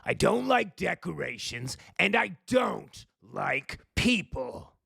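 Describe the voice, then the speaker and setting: A man voicing a grumpy, creaky little tree. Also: high-pitched, very animated, slow, bright, forceful tone, dry recording, clean studio sound, full character voice. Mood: grumpy, stubborn. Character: grumpy, stubborn little tree that hates people, later softening.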